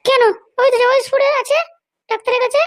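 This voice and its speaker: A man's voice: very high voice, little pitch movement, fairly quick, a bit bright, dry recording, voice pushed up. A man voicing a bossy, scheming woman politician in an amateur comedy cartoon. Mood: matter-of-fact. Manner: boastful, commanding.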